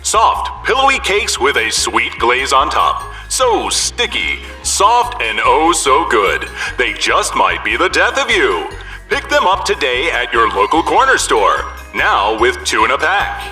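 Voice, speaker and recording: An old-fashioned commercial pitchman acted by a man selling in a parody radio ad. His voice is very bright and swoops widely over faint music. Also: wildly swooping pitch, a little slow, exaggerated voice. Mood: salesy. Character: smooth-talking old-fashioned commercial announcer.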